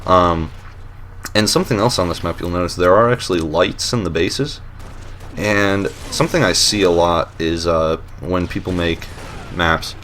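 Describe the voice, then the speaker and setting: A man with a deep voice hosting a casual voice-over commentary on gameplay, relaxed and rambling with lots of fillers and restarts, and a dry, self-mocking humor. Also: normal speed, slight background noise. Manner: dry, joking self-mockery. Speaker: host of a map showcase series.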